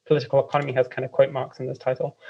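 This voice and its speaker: A woman's voice: a bass voice, completely flat delivery, very fast, gravelly, a little mellow, slightly rough. A woman with a London accent giving casual, discursive, hesitant explanations as an interview guest. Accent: London English. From London, England. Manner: hesitant, discursive, frequent "like" and "kind of". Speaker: interview guest, writer and editor. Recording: slightly dull lo-fi audio.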